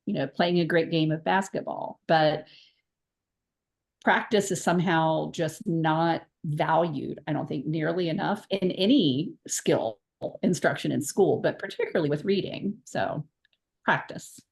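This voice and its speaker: A woman's voice: sits low, a little slow, vocal fry. A woman academic on a panel over a video call, earnest and insistent, repeating words for emphasis. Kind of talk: panel discussion.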